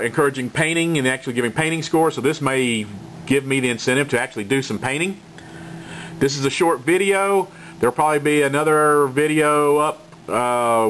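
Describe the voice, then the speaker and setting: A man's voice: medium pitch, fairly bright tone, slight background noise. Man, congested from a cold, casual channel update, rambling, frank, lots of fillers. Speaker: hobby gaming channel host. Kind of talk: casual hobby update to camera.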